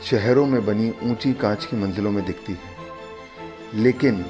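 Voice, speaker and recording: Solemn low-voiced male narrator. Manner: solemn, measured delivery. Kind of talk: scripted documentary narration with recited verse. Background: background music.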